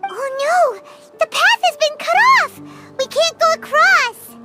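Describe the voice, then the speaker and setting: A woman voicing a tiny, excitable floating female companion who chatters eagerly in a game. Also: very high-pitched, slow. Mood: excited. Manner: refers to herself in third person, eager. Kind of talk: game voice acting. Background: music.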